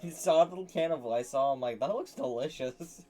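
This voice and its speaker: Woman co-host, low voice, quick jokey banter that mocks herself. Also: warm tone, faint music behind. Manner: joking, self-mocking. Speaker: podcast co-host. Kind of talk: casual live-stream banter.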